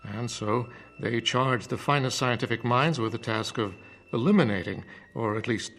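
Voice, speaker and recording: A man playing a calm professor in a radio drama, persuasive and didactic, phrasing things deliberately. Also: medium pitch. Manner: measured, didactic, deliberate repetition. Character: calm, persuasive male professor preaching conformity.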